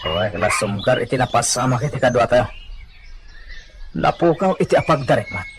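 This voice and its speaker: A man's voice: medium pitch, animated, normal speed, heavy background noise, close to an everyday voice. A man playing an anxious treasure hunter in an Ilocano audio drama. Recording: loud music over the voice.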